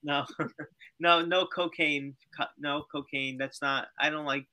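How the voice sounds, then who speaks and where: A man's voice: a little high, fairly even intonation, sharp tone. A man chatting as a podcast guest. He sounds tired and a bit nasal, and he stumbles and repeats words. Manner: halting, repeats words, jokey. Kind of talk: casual chat.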